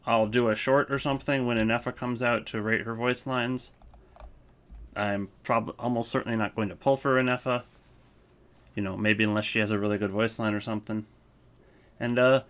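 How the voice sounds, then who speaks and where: A man's voice: medium pitch, bright, forceful tone. A man giving casual, rambling commentary on a ranking list as a video host. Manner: rambling, informal.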